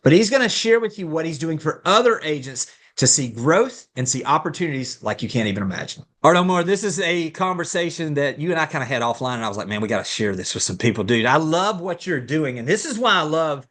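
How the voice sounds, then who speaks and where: A man hosting an interview, opening with an enthusiastic, pitchy delivery. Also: very animated, fast talker, hardly any pauses, a bit bright. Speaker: podcast host.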